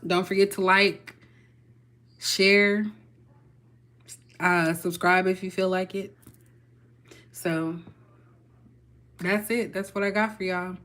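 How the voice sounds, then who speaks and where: A woman's voice: mid-range voice, steady tone, slow pace, long pauses, a bit bright. A young woman with a Texas accent giving a casual, chatty tarot reading and talking loosely to her viewers. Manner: chatty, loose, folksy with y'all. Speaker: tarot reader.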